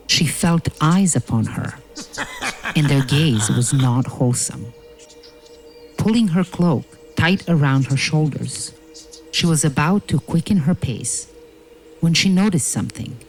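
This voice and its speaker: A male narrator with a medium-pitched voice reads a storybook tale. His delivery is slow, deliberate and dramatic, with faint music playing behind him. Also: a little mellow, poor audio.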